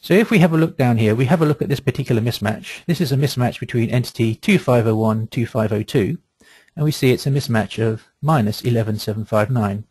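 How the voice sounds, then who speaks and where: A man gives a software demonstration as a webinar presenter. His voice is medium in pitch with a husky edge, and the sound is dry, with very little room in it. He explains things methodically and in a measured way, one step after another. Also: no room sound.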